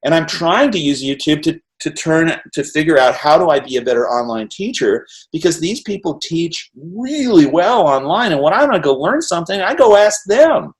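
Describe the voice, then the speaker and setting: A middle-aged man with a fairly high voice, hosting a conversation over a video call. He talks in quick, rambling bursts, laughing and tossing in "right?" to keep his guest with him. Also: big pitch swings. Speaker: interview host. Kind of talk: animated casual conversation.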